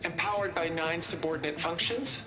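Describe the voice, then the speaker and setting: Very low, clinical woman briefing officer played by an actress. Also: normal speed, close to an everyday voice, low-quality recording. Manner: formal, clipped, detached. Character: formal, clinical woman reading a secret corporate briefing. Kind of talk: scripted narration of an executive summary in a game. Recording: narration under loud music. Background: music.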